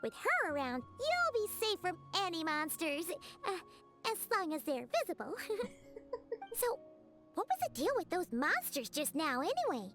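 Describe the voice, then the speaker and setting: A tiny chirpy fairy girl from a fantasy game, with a very high, bright and lively voice. She teases and pries cheerfully, calling herself by name, with soft game music under her. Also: moderate pace, heavily acted. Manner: bubbly, teasing, talks about herself in third person. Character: tiny floating girl companion, chirpy and nosy. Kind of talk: game dialogue.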